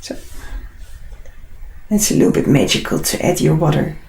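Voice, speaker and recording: A woman with a Dutch accent and a husky, unwell voice, chatting casually and unhurriedly as she hosts a live drawing tutorial. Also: low-pitched, very animated, moderate pace, darkish tone, reverberant. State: unwell, struggling hoarse voice. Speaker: artist hosting a live drawing session.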